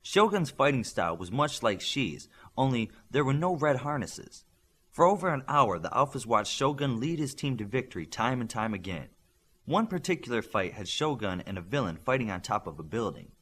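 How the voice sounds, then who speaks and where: Man, audiobook narrator, scripted story reading, animated intonation, mid-range pitch, expressive delivery. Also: normal speed, breathy edge.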